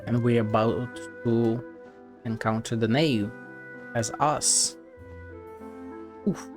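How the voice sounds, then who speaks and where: A man's voice: mid-range voice, steady tone, slow, over music. A man reacting casually as he plays a story game, swearing freely as he goes. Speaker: gaming streamer.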